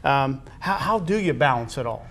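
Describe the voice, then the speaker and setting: A man speaking as a high school athletic director, hosting a conversational interview in a halting, wordy way. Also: expressive, fairly bright tone.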